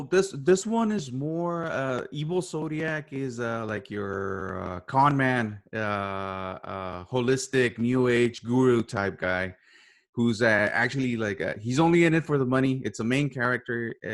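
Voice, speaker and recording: A man with a mid-pitched voice chats casually as an interview guest. He speaks haltingly, often doubling back to repeat a word or phrase. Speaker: podcast guest, writer and voice actor.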